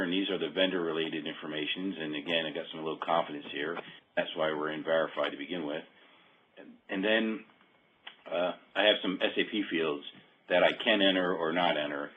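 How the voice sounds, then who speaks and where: A man giving an informal, hesitant, explanatory walkthrough of a software demo, often rephrasing mid-sentence. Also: deep voice, breathy edge. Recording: muffled phone-like call audio.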